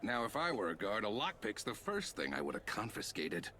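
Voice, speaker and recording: Gruff old-salt sailor captain for a game, punchy voice, very animated, folksy bluster. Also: male voice, fairly low pitch, fairly quick, a little hiss or hum. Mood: wry. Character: gruff, big-hearted sailor captain of the sand sea. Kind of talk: video game voice acting.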